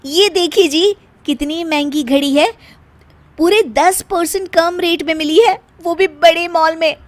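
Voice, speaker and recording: A woman's voice: very high voice, a little slow, voice pushed up. A woman voicing a breezy, defiant young shopaholic daughter-in-law in a theatrical cartoon style. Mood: showing off. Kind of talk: Hindi cartoon story acting.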